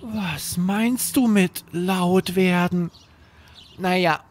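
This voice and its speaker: Man putting on a high-pitched, earnest little boy. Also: drawn-out words, slight background noise. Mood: worried. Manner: childlike, simple, earnest. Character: young boys chatting, earnest and a little worried. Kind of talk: children's bedtime audio story.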